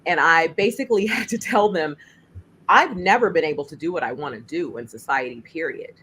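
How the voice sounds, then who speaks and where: A woman filmmaker with a deep voice and lots of bass, speaking emphatically in a panel discussion over a video call. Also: brisk, slightly rough. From United States. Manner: emphatic, animated, laughs while speaking. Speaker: filmmaker and juror.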